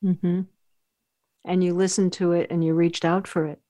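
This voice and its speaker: A woman's voice: lowish voice, flat delivery, moderate pace. A woman hosting an interview who asks thoughtful questions and often ends them with tag questions. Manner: warm, probing, tag questions. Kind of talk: conversational interview.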